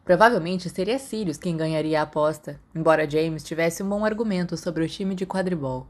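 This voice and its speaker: A woman's voice: deep voice, brisk. A woman with a Brazilian accent reading a scripted story as an audiobook narrator.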